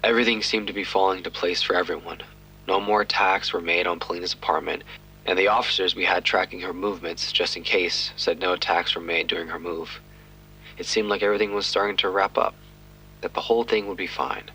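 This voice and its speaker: A man's voice: sits low, steady tone, fairly quick, bright, forceful tone, slight background noise, low-quality recording. A man playing a troubled detective narrating his own case on tape in an audio drama, subdued and reflective. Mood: rueful.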